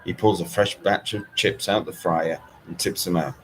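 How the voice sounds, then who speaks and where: A man reading a story aloud in an expressive audiobook style. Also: deep voice, moderate pace, a little hiss or hum, rough recording. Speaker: author reading own novel.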